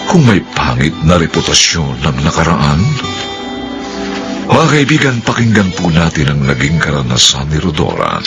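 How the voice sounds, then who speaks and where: A man's voice: very deep voice, sing-song, normal speed, music in the background. A man with a Filipino accent giving solemn, theatrical scripted narration as a radio drama host.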